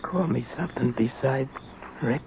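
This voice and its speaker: A thin, noisy old radio recording of an actor as a dying old American crook. His voice is gravelly and weakened. He talks in rough street slang, halting and full of bitter regret. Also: medium pitch, lightly acted. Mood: weak, tender. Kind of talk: radio drama acting. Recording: noisy, narrow-band old radio broadcast.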